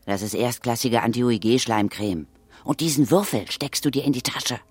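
An actress in a children's radio play voicing a brisk, unflappable older female ghost hunter, dry and commanding. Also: rumbling low, a little mellow, slightly rough, no echo. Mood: brisk, assured. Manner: dry, matter-of-fact, commanding.

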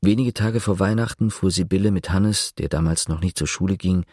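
An actor playing a sober, detached narrator in a German literary radio drama, measured and dryly ironic. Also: male voice, sits low, a little mellow, husky, no echo, clean studio sound.

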